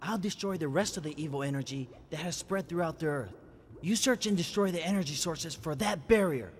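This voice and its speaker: Solemn, stoic giant robot warrior. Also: male voice, on the high side, lively intonation, background noise. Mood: solemn, resolute. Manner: solemn, deliberate, formal. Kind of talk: animated game cutscene dubbing.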